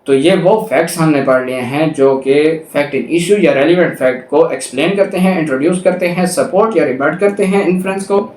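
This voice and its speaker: Higher-voiced Pakistani male lecturer, echoey room. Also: brisk, hardly any pauses, darkish tone. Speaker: law lecturer. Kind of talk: explanatory lecture with examples, mixing Urdu with English legal terms. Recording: echoey, reverberant room sound.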